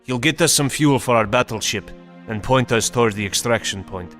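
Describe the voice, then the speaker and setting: An actor playing a steady, resolute North Vietnamese commander giving matter-of-fact mission briefings in a video game. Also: male voice, medium pitch, normal speed, faint music behind, close to an everyday voice. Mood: businesslike.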